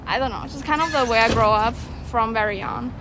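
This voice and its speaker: A woman whose first language is Mandarin, answering casually and quickly in English. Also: a little high, noisy background.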